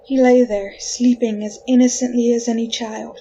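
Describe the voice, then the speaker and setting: A woman playing a wrongly condemned, desperate soul in an old-time radio horror drama, with a halting, pleading delivery. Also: fairly high pitch, level tone, warm tone, muffled recording, a little hiss or hum, natural voice. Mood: haunted, reluctant.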